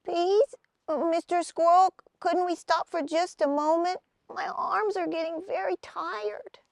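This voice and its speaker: Little storybook hazelnut girl performed by a woman: high, gentle-mannered, nervous and courteous, dry sound. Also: steady tone, slow, creaky, dry recording, exaggerated voice. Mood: weary, pleading. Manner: nervous, polite.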